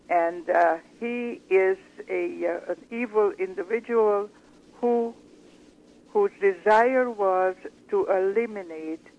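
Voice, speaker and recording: An older woman from Hungary, a guest giving her personal testimony slowly, with measured, searching pauses. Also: mid-range voice, creaky, a little mellow, low-quality recording.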